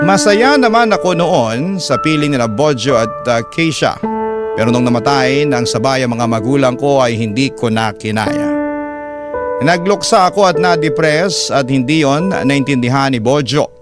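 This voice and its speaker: A man's voice: medium pitch, lively intonation, normal speed. A man reading out a listener's letter as a radio host, in scripted first-person story narration. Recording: loud piano music behind. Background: piano music.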